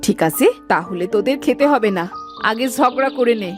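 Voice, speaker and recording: This is a woman playing an exasperated village mother in a moral-story cartoon. Her voice sits fairly high and swings widely in pitch as she nags and complains. Faint music plays behind her. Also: animated, moderate pace, close to an everyday voice. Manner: scolding, complaining.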